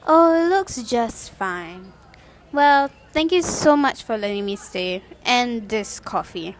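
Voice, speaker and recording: A woman's voice: on the high side, slow pace. A woman playing a nervous, wary young renter with a wry streak in an amateur radio drama, with some noise behind her. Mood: uneasy, evasive. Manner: hesitant, expressive, wry.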